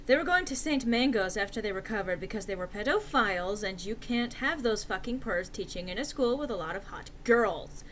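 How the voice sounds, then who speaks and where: Woman doing a dramatic fanfic reading, bright voice, really animated, faint background noise. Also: medium pitch. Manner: theatrical, exaggerated emphasis. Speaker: narrator. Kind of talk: comedic dramatic reading of fan fiction.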